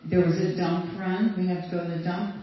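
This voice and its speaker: A woman's voice: on the low side, little pitch movement, a little fast, a little hiss or hum. An older woman from Sweden telling casual, rambling stories to an audience, with fillers and light laughs. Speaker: guest speaker sharing memories. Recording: distant, dull, very roomy recording.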